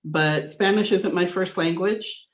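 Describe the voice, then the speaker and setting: A woman on a city council, courteous and halting with uhs as she offers remarks. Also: lowish voice, echoey room.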